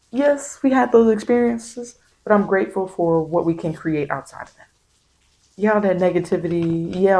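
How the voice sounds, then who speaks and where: A woman's voice: fairly low pitch, mellow, poor audio. A woman giving an informal, encouraging tarot reading in ASMR style, speaking colloquially and repeating phrases for emphasis. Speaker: tarot card reader and host. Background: bird and rain sounds.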